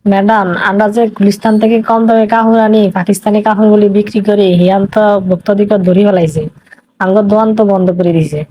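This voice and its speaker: A woman's voice: medium pitch, fairly even intonation, fast, highs cut off, a little hiss or hum, close to an everyday voice. A woman playing an anxious, pleading shop employee in a comic cartoon, deferential, with a regional Bangladeshi Bengali dialect. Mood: alarmed, pleading.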